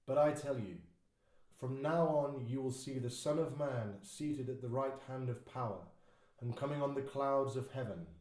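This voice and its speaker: A man voicing Jesus as a calm, grave teacher in a dramatised scripture reading, speaking in a measured, solemn way. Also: medium pitch, natural voice. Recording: echoey room.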